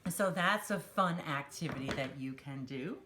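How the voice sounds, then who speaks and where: A woman's voice: low-pitched, normal speed, noisy background. A woman hosting a children's storytime, talking simply and encouragingly to young children as she demonstrates a craft. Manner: refers to herself in third person.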